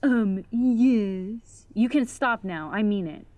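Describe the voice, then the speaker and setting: A woman's voice: mid-range voice, slow pace, darkish tone, muffled recording, natural voice. An actress playing an anxious, sarcastic young homebody woman in a horror comedy audio drama. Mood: annoyed, uneasy. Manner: jittery, sarcastic.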